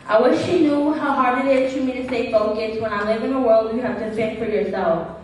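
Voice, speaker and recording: A woman's voice: on the high side, fairly quick, darkish tone. Young woman reciting slam poetry, very echoey. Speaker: student performing slam poetry. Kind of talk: slam poetry recitation with acted student dialogue. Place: large echoey room at a gala event. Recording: very echoey hall, distant mic.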